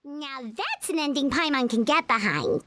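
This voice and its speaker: A woman's voice: high voice, animated, moderate pace, fairly bright tone, faint music behind. A woman voicing a tiny, chatty fairy companion in a game who talks about herself in the third person and speaks frankly. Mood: pleased. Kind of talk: game cutscene voice acting.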